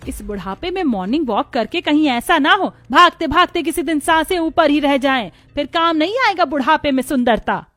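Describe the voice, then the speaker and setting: A woman voicing a jealous, sharp-tongued elderly village mother-in-law in a cartoon, nagging and taunting. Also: high-pitched, animated, moderate pace, few pauses.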